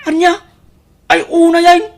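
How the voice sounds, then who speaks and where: A woman's voice: very high voice, monotone, unhurried, vocal fry, heavily acted. A woman playing a put-upon, resentful young family driver, hesitant and plaintive, in an audio drama. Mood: aggrieved. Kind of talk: Ilocano audio drama acting.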